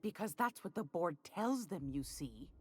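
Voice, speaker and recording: Folksy sly older trader, lively low voice. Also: female voice, unhurried, husky, soft background music. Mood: wry, confiding. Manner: sweet-talking, knowing, calls people sweetie and dearie. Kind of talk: video game character dialogue.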